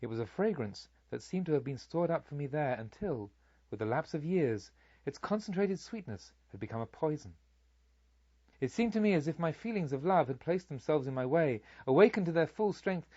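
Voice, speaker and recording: A man reading a literary story aloud as an audiobook narrator, in a measured, formal storytelling manner. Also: fairly high pitch, animated, a little mellow, breathy edge, dry recording, highs cut off.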